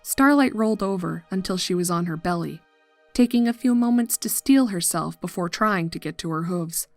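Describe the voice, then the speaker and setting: Narrator: woman, lowish register, measured dramatic horror storytelling over soft music. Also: expressive, no echo.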